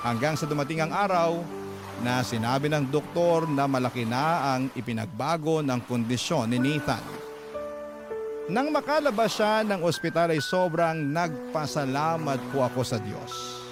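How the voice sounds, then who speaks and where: A man's voice: a little high, animated, unhurried. A man narrating a first-person story dramatically, as a radio drama host. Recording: music playing behind the voice. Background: music.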